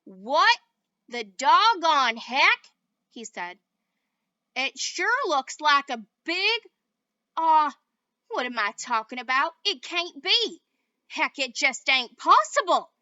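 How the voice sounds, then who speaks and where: A woman plays a tiny folksy cowboy, loud and drawling, dull dry recording. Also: sits high, big pitch swings, slow, long pauses, no echo, voice pushed up, exaggerated voice. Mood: bewildered. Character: tiny gruff cowboy man, bewildered and jumpy.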